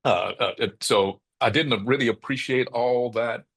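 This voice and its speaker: A middle-aged man with a bass voice, speaking reflectively as an interview guest, often checking in with "right?" and restarting his phrases. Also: a little slow, a bit bright, husky. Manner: thoughtful, frequent "right?" tags and restarts. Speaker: podcast guest.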